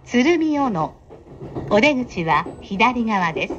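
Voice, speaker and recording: A woman's voice: big pitch swings, slow pace, fairly bright tone. A woman train announcer reading scripted station announcements in a polite, formal, carefully enunciated manner. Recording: dull train PA with clear rumble. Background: train running noise.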